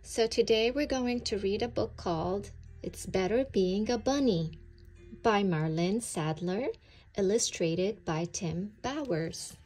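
A woman reading a children's story aloud in an expressive, deliberate way. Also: medium pitch, faint music behind.

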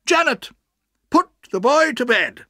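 A narrator voicing a stern, sharp-tongued older woman, an aunt with an emphatic, commanding manner, in a storybook reading. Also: high-pitched, animated, a little slow, no room sound, clean studio sound, voice pushed up, heavily acted. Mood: brisk command.

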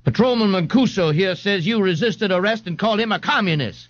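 A gruff, fed-up police sergeant, acted by a male narrator in a novel reading. His barking, sarcastic talk is animated and raised in pitch, with very little room sound. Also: fairly quick, dry recording, lo-fi sound. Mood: stern.

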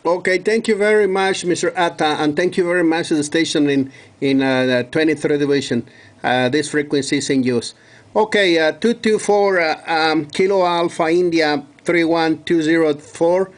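A man's voice: fairly high pitch, moderate pace. A man from Mexico speaking as a radio operator, courteously repeating details and call signs.

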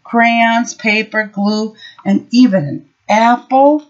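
A middle-aged woman teacher explaining simple words and reading a story aloud to young children, instructive and expressive. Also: on the high side, takes it slowly. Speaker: preschool teacher. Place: small room at home. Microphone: built-in webcam or laptop mic. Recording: dull, lo-fi webcam audio.

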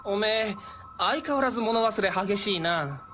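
A woman voicing a hot-tempered cowboy robot cat in an anime, rough, blustering and excitable. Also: medium pitch, measured pace, very noisy, close to an everyday voice. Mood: gruff, confident. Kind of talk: anime dubbing.

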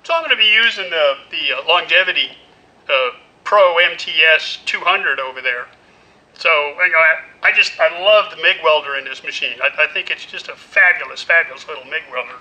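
A man's voice: fairly high pitch, brisk, pushed and bright, low-quality recording. A middle-aged man giving a casual, folksy welding demonstration in his workshop, full of "you know"s. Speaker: welding instructor. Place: indoor metal workshop.

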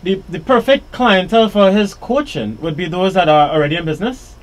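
A Trinidadian man hosting a live radio interview from a small studio, talking upbeat in quick bursts into a boom mic. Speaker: radio show host. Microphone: studio boom mic with headphones.

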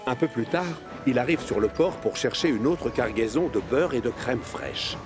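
A man's voice: medium pitch, animated, moderate pace. A man narrating a children's cartoon story in a scripted storytelling style. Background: music.

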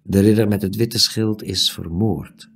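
Serious polite young squire, soft and slow, bit gravelly, read by a man. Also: lowish voice, steady tone, heavily acted. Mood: earnest pleading. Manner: earnest, soft-spoken, polite.

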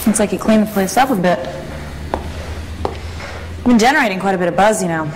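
A woman's voice: medium pitch, brisk, long reverb tail, noisy background, lightly acted. An actress playing a tough, guarded young female street racer in a video game, curt and dismissive. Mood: cool, skeptical. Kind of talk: video game cutscene acting.

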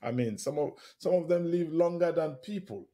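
A man's voice: on the high side, expressive, normal speed, mellow. A man speaking as an expert guest in a radio interview, explaining emphatically and enthusiastically, often checking in with short tag questions.

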